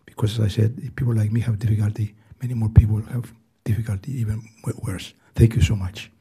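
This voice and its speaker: An eighty-year-old man with a Greek accent, earnestly reading prepared testimony at a hearing in a soft, weak voice, halting and restarting now and then. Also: fairly low pitch, little pitch movement, breathy edge. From Greece. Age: 80s. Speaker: hearing witness. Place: hearing room.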